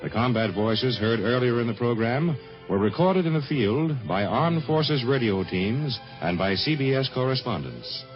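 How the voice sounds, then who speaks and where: A formal American man reads network radio announcements in a 1950s manner. His voice is mid-pitched, with faint music behind it. The recording has the dull, narrow sound of old radio. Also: expressive, normal speed.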